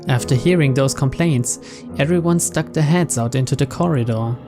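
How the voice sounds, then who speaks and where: A man's voice: medium pitch, moderate pace. A man reading scripted narration aloud from a visual novel. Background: game music.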